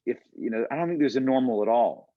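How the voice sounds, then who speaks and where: A man's voice: medium pitch, animated, fast talker, no room sound. Earnest man on a dull video call. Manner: earnest, encouraging, many fillers. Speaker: guest speaker, college instructor. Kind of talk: casual video-call talk. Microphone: computer mic on a video call.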